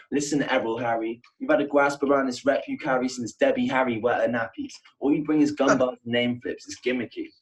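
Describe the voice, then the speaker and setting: A man's voice: medium pitch, darkish tone, distant mic, poor audio. Battle-rapping man with a southern English accent. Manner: aggressive, insult-heavy rhymed delivery. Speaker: battle rapper. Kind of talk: battle rap verses.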